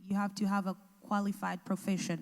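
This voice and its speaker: Woman interpreting haltingly in a hall. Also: medium pitch, flat delivery. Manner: halting, restarts while translating. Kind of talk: consecutive interpretation into English. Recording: echoey hall.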